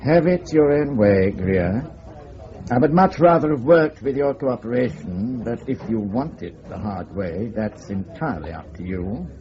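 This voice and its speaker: An actor playing a cool, formal, middle-aged English security investigator in a radio drama, with a clipped and controlled way of talking. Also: male voice, medium pitch, expressive, measured pace, lo-fi sound, crowd noise, lightly acted. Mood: cold, menacing.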